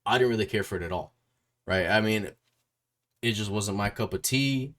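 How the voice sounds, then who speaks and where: A man's voice: fairly low pitch, normal speed, fairly bright tone. A man hosting a casual interview as a music YouTuber, rambling, repeating his phrases and often checking in with a questioning tag. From Puerto Rico or Puerto Rican family.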